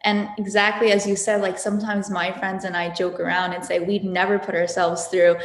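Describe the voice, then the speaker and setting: A woman's voice: medium pitch, little pitch movement, normal speed. Friendly woman host in a reverberant room. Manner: friendly and chatty. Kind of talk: podcast interview. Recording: echoey room with long reverb.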